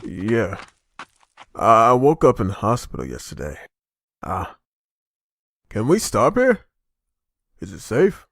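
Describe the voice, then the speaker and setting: A man's voice: fairly low pitch, wildly swooping pitch, slow, long pauses, fairly bright tone, dry recording, studio quality, heavily acted. A man playing a weary survivor, an anxious husband and father, in a dubbed comic drama. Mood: bewildered, worried.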